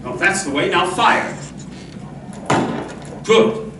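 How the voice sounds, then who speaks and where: A man's voice: high voice, expressive, slow pace, background noise. A man playing a heroic, protective masked cowboy lawman in an old-time radio western, speaking in an earnest, commanding and reassuring way. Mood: firm, instructing. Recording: roomy, distant live stage recording, music.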